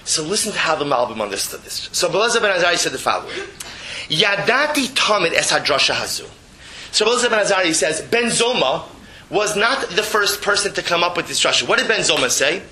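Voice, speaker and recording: High, bright-voiced man teaching religious text. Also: very animated, quick pace, low-quality recording. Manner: emphatic, repeats phrases, rhetorical questions. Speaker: rabbi giving a Torah class. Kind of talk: religious text lecture, reading and translating.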